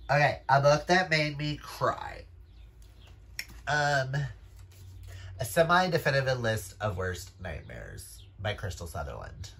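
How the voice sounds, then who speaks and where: A man's voice: mid-range voice, very animated, slow pace, gravelly, pauses often, bright, forceful tone. A man chatting casually as a book vlogger, in a gushing, emphatic and exclamatory manner. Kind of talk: casual chat to camera.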